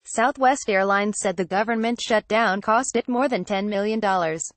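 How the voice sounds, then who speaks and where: A woman's voice: medium pitch, normal speed, dry recording. Dry-recorded woman reading news. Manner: reads formally, word for word. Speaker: news article narrator. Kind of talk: scripted news narration.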